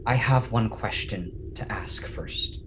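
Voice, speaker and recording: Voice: medium pitch, whispered, close to an everyday voice. An actor playing Frankenstein's creature, tormented and pleading with its maker in a dramatic staged reading. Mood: grave, resigned. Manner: slow, deliberate, imploring.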